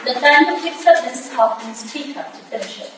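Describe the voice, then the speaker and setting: A woman narrates stage directions from a script in a fairly high voice. She is recorded at a distance in a very echoey room, with noticeable noise behind her. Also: fairly quick.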